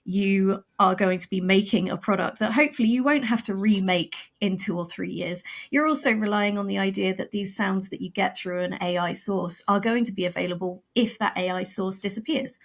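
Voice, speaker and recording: A woman guest on a casual podcast makes her points firmly and clearly, in a mid-pitched voice. She sounds articulate and sure of her views. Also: fairly quick, hardly any pauses. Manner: firm, emphatic and articulate. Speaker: podcast guest, audio drama writer. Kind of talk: casual conversational interview.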